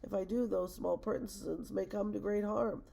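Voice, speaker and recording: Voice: mid-range voice, steady tone, moderate pace, vocal fry, mellow, pitched above the performer's own voice. A narrator voicing a big kind-hearted elephant in a storybook, protective and steadfast, with an earnest, emphatic manner. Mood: worried, resolute.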